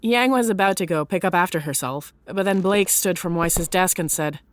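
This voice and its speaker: A woman's voice: fairly low pitch, normal speed, no room sound. Woman narrating a story, very dry recording. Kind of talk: scripted third-person story narration.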